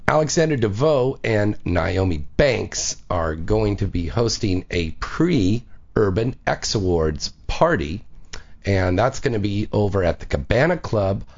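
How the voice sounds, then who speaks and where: A low-pitched man hosts a late-night call-in radio show. His voice rises and falls a lot as he breezes through event plugs with plenty of "um"s. The audio is dull and lo-fi. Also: sing-song, unhurried, vocal fry. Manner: breezy, promotional, says "um" and "uh" often. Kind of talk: casual call-in radio chat. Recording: dull, lo-fi sound.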